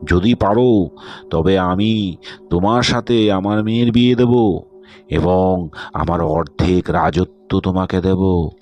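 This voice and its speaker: A man's voice: sits low, takes it slowly, pauses often, darkish tone. A man voicing a worried old king in a cartoon, pleading for help. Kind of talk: cartoon voice acting.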